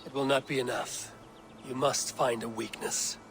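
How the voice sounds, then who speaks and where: A man playing a calm, strategic Viking leader. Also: mid-range voice, moderate pace, slight background noise, natural voice. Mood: measured. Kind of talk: video game voice acting. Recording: game audio with music behind.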